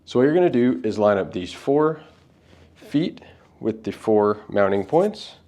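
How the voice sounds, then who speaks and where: A man's voice: lowish voice, animated, measured pace, warm tone. A man hosting an unboxing, giving casual, plain-spoken step-by-step setup instructions. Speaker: product unboxing host.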